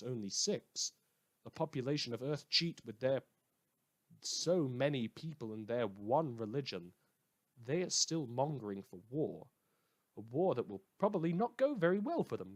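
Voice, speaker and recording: A man hosting a scripted fictional radio show, telling it in a measured, wry and theatrical way. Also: mid-range voice, expressive.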